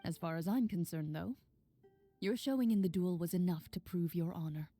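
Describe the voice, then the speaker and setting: A stoic female duelist with a low, husky voice. She speaks formally and with measured reserve, as in a video game, with faint music behind. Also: dark tone. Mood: firm. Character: Clorinde, composed stoic young female champion duelist and hunter.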